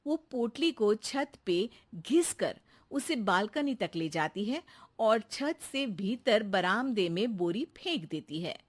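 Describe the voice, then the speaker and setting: Slow, expressive woman storyteller. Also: medium pitch. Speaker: narrator. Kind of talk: scripted cartoon storytelling narration.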